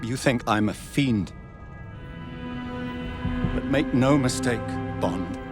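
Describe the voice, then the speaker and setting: An actor playing a coldly menacing villain with grand visions in a video game cutscene, speaking in a deliberate, ominous manner. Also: male voice, medium pitch, music in the background, close to an everyday voice. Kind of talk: cinematic video game acting.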